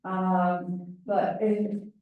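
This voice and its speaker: Loud older woman in echoey hall. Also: lowish voice, little pitch movement, very slow, narrow, tinny audio. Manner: chatty, self-deprecating, wandering asides. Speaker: volunteer presenter on ballot issues. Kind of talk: informal public briefing. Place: large meeting room. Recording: very echoey room, voice from far off.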